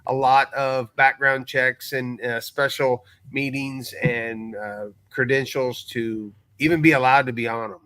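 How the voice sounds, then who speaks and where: A man chatting casually as a guest on a recap show, halting and good-humoured, ready to poke fun at himself. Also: medium pitch, fairly even intonation, a bit bright, no room sound. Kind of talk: casual chat, story recap.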